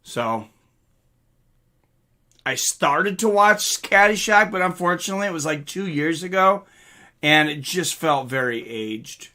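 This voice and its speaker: A man's voice: a little high, animated, unhurried, long pauses, pushed and bright. A man hosting a live stream, chatting casually and reading out comments from viewers.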